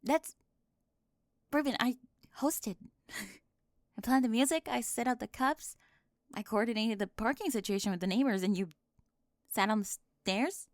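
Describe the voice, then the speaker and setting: A woman playing a talkative, bossy high school girl who gets easily flustered, in an ASMR roleplay with halting speech and breathy drops toward a whisper. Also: no echo, studio quality, close to an everyday voice. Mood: exasperated, animated.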